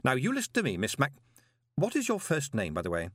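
A man voicing a quick-witted, confident postmaster in an audiobook, glib yet earnest and reassuring. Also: medium pitch, wildly swooping pitch, a bit bright, no room sound, studio quality, voice pushed up. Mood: soothing, firm.

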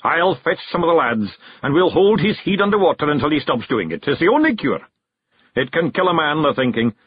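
Man playing a blunt, husky Scottish fairy clansman. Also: a little high, sing-song, brisk, dry recording, lo-fi sound, voice pushed up. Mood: blunt diagnosis. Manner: blunt, matter-of-fact. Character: big blunt male fairy clansman, distrusts learning.

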